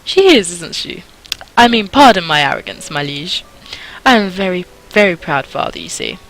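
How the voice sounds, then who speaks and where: A woman's voice: fairly low pitch, very animated, moderate pace, fairly bright tone, slight background noise, poor audio. A woman voicing a proud, deferential father who speaks humbly, formally and eagerly.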